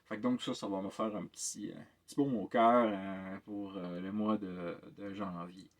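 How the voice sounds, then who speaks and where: A man's voice: lowish voice, fairly even intonation, moderate pace. A man with a Québécois French accent, giving a casual, rambling vlog update as a tabletop game master. He sounds very tired and hesitates often, with many filler sounds and repeated words.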